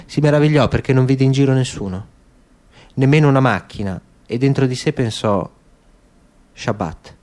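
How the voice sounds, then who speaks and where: A man's voice: mid-range voice, brisk, a little mellow. A man giving a dramatic literary narration in a radio theatre style. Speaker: narrator, stage actor.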